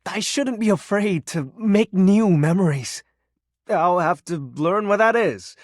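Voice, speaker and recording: A man's voice: high-pitched, expressive, unhurried, no echo, studio quality. An actor voicing a shy, sentimental, lonely young male shadow in a video game, speaking hesitantly and earnestly. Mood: hopeful, sentimental. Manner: hesitant, soft-spoken, earnest. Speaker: datable game character. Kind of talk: video game character voice acting.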